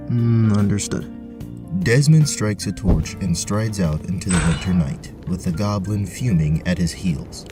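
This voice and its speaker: A man narrating a scripted tabletop fantasy adventure in a deliberate, theatrical way. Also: low voice. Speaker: narrator and game host.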